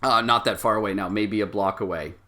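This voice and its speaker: A man working as game master, improvising vivid scene narration with frequent halting pauses. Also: on the low side, a crowd in the background.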